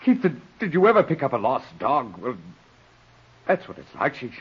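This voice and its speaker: An actor in a 1940s radio drama playing a weak, overwrought man, halting and stammering with anguish and fear. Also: mid-range voice, very animated, breathy edge, heavily acted. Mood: pleading, tender. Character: weak, frightened, overwrought ne'er-do-well younger man. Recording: muffled vintage 1940s radio recording.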